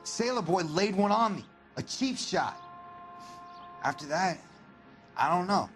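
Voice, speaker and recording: An actor playing a surly, defensive ex-convict under questioning, curt and slangy, in a video game crime drama. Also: male voice, sits high, lively intonation, unhurried, fairly bright tone, soft background music. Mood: sullen.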